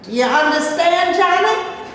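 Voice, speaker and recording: Actress as domineering stage mother, proud and scolding, slow and animated, heard in a very echoey room. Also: extremely high pitch. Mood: insistent, domineering. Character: domineering Southern birth mother, brash, proud, self-justifying. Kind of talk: staged reading of a play. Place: live staged reading in reverberant room. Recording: echoey hall with background noise.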